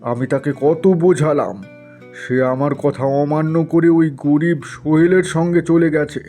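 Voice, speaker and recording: Cartoon landlord father, middle-aged, played by a man: stern, commanding, dark-toned, measured pace. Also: a little high, fairly even intonation, faint music behind. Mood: hurt, indignant. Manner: indignant, commanding. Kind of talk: cartoon story voice acting.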